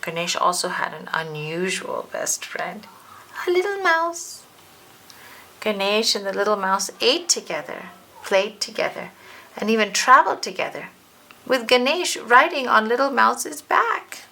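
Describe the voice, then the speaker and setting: A woman reads a children's story aloud in an expressive, deliberate way. Her voice is bright and forceful with noticeable fry, and there is faint noise behind her. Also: on the high side. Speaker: storybook narrator. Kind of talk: children's read-aloud, scripted narration.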